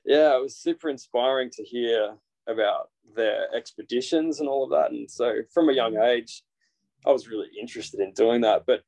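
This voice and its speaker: A man's voice: medium pitch, moderate pace, vocal fry, poor audio. Australian man, 30s, laid-back interview guest on a laptop mic, loose and chatty. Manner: relaxed, rambling with frequent fillers and restarts. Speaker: guest, naturalist guide. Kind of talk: casual interview over video call. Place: small indoor room. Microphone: video call, built-in device mic.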